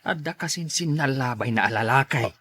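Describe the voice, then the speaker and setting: A man's voice: medium pitch, a little fast, sharp tone, no echo. An actor playing a blunt, fatherly older man in an Ilocano radio drama. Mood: disapproving.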